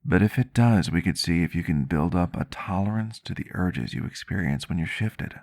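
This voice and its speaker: A man playing a gentle, earnest vampire doctor in an ASMR roleplay, speaking in a measured, reflective and rather formal way with a gravelly voice. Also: low-pitched. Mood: gentle, reassuring. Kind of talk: ASMR roleplay, soft intimate storytelling to the listener.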